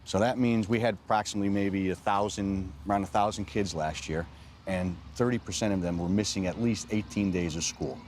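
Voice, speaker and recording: A man's voice: low voice, steady tone, fairly quick, darkish tone, a little hiss or hum. A man working as a school attendance officer, explaining things in an interview.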